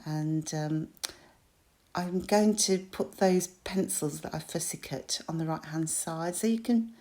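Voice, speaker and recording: Croaky British woman chatting through a craft project. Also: sits low, measured pace, warm tone. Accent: British English. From United Kingdom. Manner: chatty, self-deprecating, with frequent ums and small laughs. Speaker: crafter and shop designer. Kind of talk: crafting tutorial voice-over.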